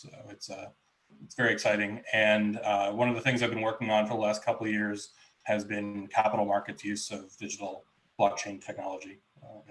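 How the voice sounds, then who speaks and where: Low, flat-voiced man on a panel. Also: rough recording. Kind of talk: online panel introduction.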